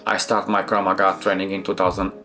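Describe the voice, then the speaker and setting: A middle-aged man from Romania with a Romanian accent, a Krav Maga instructor reacting to fight scenes in halting, restarting English. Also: deep voice, completely flat delivery, sharp tone, roomy sound, soft background music. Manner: halting non-native phrasing with many restarts.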